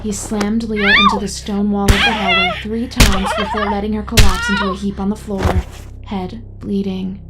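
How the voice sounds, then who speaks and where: Woman narrating over clear background music. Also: medium pitch, big pitch swings, moderate pace. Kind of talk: scripted audio drama narration.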